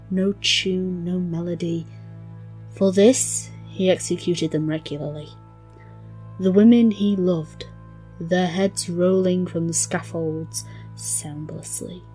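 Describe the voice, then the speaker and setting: A woman narrating a dark tale in a solemn, measured, theatrical storytelling style. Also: on the low side, low-quality recording. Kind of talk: dramatic scripted story narration. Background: instrumental music.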